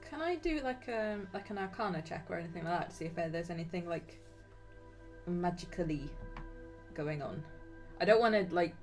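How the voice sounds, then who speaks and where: A woman's voice: lowish voice, expressive, normal speed, a little mellow. A woman chatting casually as a player in a tabletop game, asking questions in a tentative way. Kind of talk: casual chat during a game. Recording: music playing behind the voice. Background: music.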